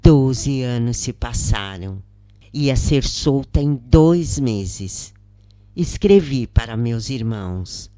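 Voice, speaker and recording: Slow, measured Brazilian narrator. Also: medium pitch, animated, warm tone, no echo, studio quality. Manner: measured, deliberate reading with pauses between phrases. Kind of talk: scripted narration, audiobook-style reading of a first-person spiritist account.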